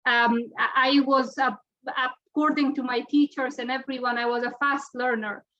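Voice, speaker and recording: A woman's voice: sits high, fairly even intonation, moderate pace, a bit bright. A woman in her twenties from Afghanistan, answering earnestly on a panel, often restarting her sentences. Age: 20s. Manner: earnest, with frequent restarts and stammers. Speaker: panelist, former deputy health minister. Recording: muffled lo-fi audio.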